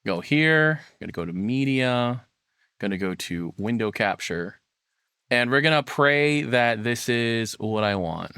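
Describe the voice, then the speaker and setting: A man hosts a livestream in a mid-pitched voice that moves up and down in a lively way. He rambles casually to his viewers and pokes fun at himself. The sound is close and dry, from a streaming mic in his own room. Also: unhurried, smooth, no echo. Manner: rambling, self-deprecating. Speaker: livestream host. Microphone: processed streaming microphone, close and dry.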